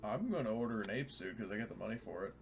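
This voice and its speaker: Fairly deep man, faint background noise. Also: lively intonation, very fast, vocal fry. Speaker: tabletop game player. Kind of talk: casual in-game debate.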